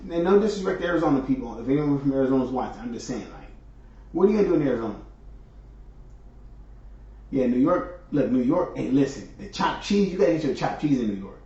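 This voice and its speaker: A man's voice: medium pitch, expressive, quick pace, dark tone. A man from California hosting his stream, talking on his own in a rambling, chatty way full of fillers. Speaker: host. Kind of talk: casual solo monologue. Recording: echoey, distant, dull sound.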